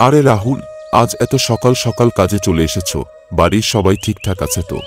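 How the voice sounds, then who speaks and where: A man playing a patronising but concerned employer in a cartoon story, talking in a measured, authoritative way. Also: on the low side, loud music behind the voice, close to an everyday voice. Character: male employer, businessman boss, concerned and patronising. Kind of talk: Bengali cartoon story voice acting.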